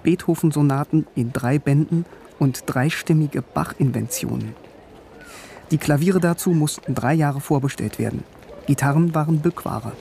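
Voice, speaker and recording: A man's voice: on the high side, normal speed, creaky, mellow, slight background noise. An actor playing a wry, self-deprecating young East German man who narrates his awkward youth in a German radio drama. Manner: self-deprecating, wryly literate.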